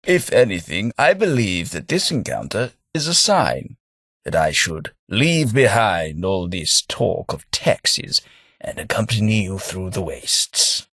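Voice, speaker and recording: Casting: mild, wry English gentleman for a game, low-pitched, slow, slightly husky, dry booth. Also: dry recording, heavily acted. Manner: mild, self-deprecating, drily humorous. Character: gentle hapless posh English gentleman, a former clerk. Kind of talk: game character voice lines.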